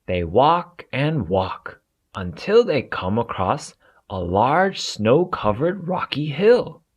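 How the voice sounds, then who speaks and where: A man narrating a children's storybook aloud in an expressive way for young listeners. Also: medium pitch, slow, husky.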